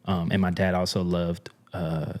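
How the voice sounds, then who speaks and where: Very deep-voiced laid-back man. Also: level tone, unhurried, a little mellow. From New Orleans. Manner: laid-back, slangy, laughs often. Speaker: guest, drummer and music professor. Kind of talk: casual storytelling in a podcast interview.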